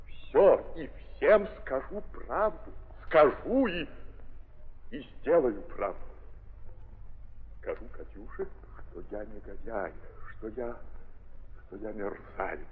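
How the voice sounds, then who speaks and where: An actor playing a remorseful, self-accusing nobleman in an old radio drama, halting and repeating his words in anguish. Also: medium pitch, heavily acted. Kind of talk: 1930s radio theatre acting. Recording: muffled husky 1930s recording, long hall reverb.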